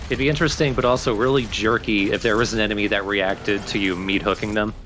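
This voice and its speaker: A man's voice: fairly low pitch, fairly even intonation, quick pace, a bit bright, slight background noise. A man co-commentating a video game with casual, joking banter. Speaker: co-commentator on a let's play.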